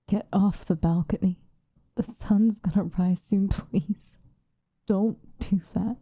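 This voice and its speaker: A woman playing an obsessive, possessive lovesick woman in an intimate, whispered ASMR roleplay, with a breathy voice. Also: medium pitch, warm tone, dry recording. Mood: desperate, pleading. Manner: slow, intimate, pleading.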